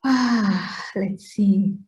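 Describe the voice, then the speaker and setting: A middle-aged woman from Cameroon speaks with a mellow, warm tone. She is earnest and reflective as she gives advice, and her points often end in a quick "right?". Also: mid-range voice. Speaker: interviewee. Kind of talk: conversational Q&A talk, advice-giving.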